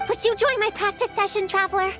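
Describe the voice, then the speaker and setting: An actress playing an excitable, scheming young witch girl in a video game, dramatic and easily flustered. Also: very high-pitched, quick pace, over music. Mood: eager. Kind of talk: animated video game voice acting.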